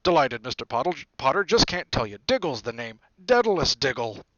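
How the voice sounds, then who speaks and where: A man voicing an excitable little gentleman who gushes and exclaims with delight, in an audiobook story. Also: medium pitch, fairly quick, dry recording, muffled recording.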